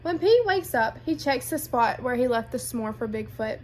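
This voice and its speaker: Female picture-book reader, high lively voice, asks kids guiding questions, light background noise. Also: normal speed. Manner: addresses children with guiding questions. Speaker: read-aloud storyteller. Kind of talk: animated children's picture book narration.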